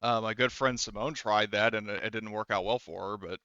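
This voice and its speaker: A man's voice: on the low side, steady tone, fairly quick, sharp tone, smooth, no echo, highs cut off. A man acting as game master of a tabletop roleplaying game, talking casually and hesitantly as he searches for words.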